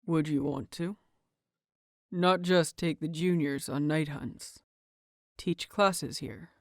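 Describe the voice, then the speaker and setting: A woman voicing a quiet, stoic, devoted husband of few words in an audio story, terse and deadpan. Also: sits low, takes it slowly, no echo, studio quality, pitched below the performer's own voice. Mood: quiet, earnest.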